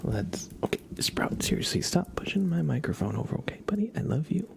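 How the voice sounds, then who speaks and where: A soft-spoken man hosting a live ASMR stream, chatting casually with viewers and often dropping into whispers, close to the microphone. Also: fairly high pitch, sing-song, fairly quick, creaky, slight background noise. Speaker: ASMR livestreamer, host.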